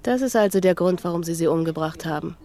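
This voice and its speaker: An actress playing a sharp-tongued, world-wise former bar waitress in a German radio drama, sardonic and knowing. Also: female voice, lowish voice, quick pace, close to an everyday voice. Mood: probing.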